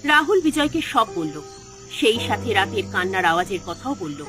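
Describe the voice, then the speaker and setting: A woman's voice: mid-range voice, big pitch swings, normal speed, over music. A woman narrator reading a scripted horror story in a dramatic style.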